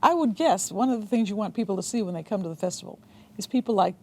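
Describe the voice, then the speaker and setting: Mellow American woman hosting. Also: mid-range voice, expressive, fast talker. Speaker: television magazine show host. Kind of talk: interview hosting.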